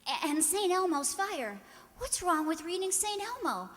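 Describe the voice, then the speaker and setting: A woman playing an excitable, exclamatory young girl in an old-time radio drama. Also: extremely high pitch, unhurried, exaggerated voice. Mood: indignant. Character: excitable, plain-spoken young girl, Helen's friend. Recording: reverberant hall.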